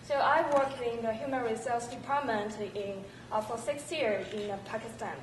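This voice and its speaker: Chinese woman giving a halting student presentation, super echoey room, noisy. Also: a little high, recorded from a distance. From mainland China.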